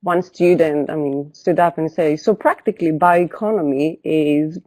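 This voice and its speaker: Low-voiced woman from Greece. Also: moderate pace, a little mellow, no room sound. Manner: thoughtful, explains step by step with fillers. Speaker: teacher. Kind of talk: webinar panel answer.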